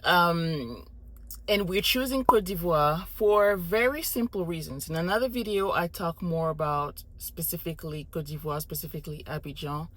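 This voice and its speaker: A woman's voice: lowish voice, moderate pace, fairly bright tone. A woman in her thirties, born in Morocco, explaining her family's decisions in a thoughtful, methodical way to camera. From born in Morocco, Congolese heritage. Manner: thoughtful, methodical, frequent restarts and listing. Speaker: family relocation vlogger. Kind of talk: explanatory casual talk to camera.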